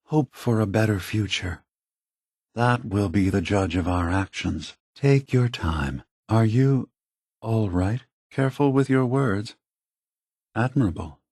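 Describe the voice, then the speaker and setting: An actor playing a calm, weary, philosophical android leader in a video game, speaking in a measured, gentle way with a husky voice. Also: male voice, on the low side, no room sound, studio quality, full character voice. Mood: measured, solemn. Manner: measured, deliberate, gentle, reflective.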